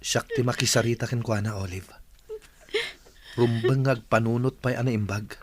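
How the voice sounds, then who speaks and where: A man's voice: medium pitch, steady tone, moderate pace, slight background noise, close to an everyday voice. An actor playing a concerned, indignant young man in a radio drama, protective of his friend.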